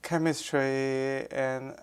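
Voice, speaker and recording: A man in his sixties speaks English with a Beijing Mandarin accent, in an oral history interview. His voice is smooth and clear, and his delivery is slow and fairly flat. He pauses often, with many fillers and restarts. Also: mid-range voice. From Beijing, China. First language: Mandarin Chinese. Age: 60s. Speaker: architecture professor.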